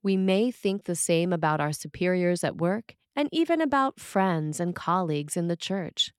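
A woman reading a scripted sermon as a preacher, with measured, deliberate phrasing. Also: lowish voice, expressive, clean tone, no room sound, studio quality.

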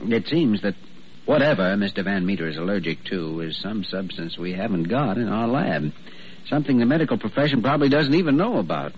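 An actor playing an earnest, easygoing young doctor in vintage radio drama, polite and gently wry. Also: male voice, lowish voice, lively intonation, fairly quick, a little hiss or hum, lightly acted. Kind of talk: 1940s radio drama acting. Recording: muffled old radio recording.

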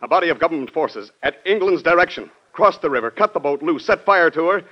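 An actor playing a dutiful, urgent military general in an old-time radio drama, reporting gravely in a formal, emphatic way. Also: male voice, on the high side, lively intonation, fast. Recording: dull old radio broadcast recording.

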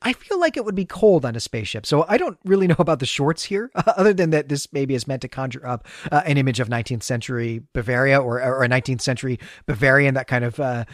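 A man's voice: medium pitch, animated, fast, hardly any pauses, dry recording, studio quality. An American man co-hosting a literary podcast, talking casually and analytically in a wordy, anecdotal way. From United States. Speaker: podcast co-host.

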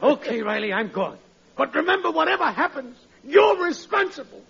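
A groveling, whimpering underling pleads in a very high, swooping voice, melodramatic in old radio comedy fashion. The recording is dull and quite noisy. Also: male voice, very animated, normal speed, vocal fry, full character voice. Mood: desperate. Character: groveling, desperate ruined employee begging his boss. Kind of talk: 1940s radio comedy acting.

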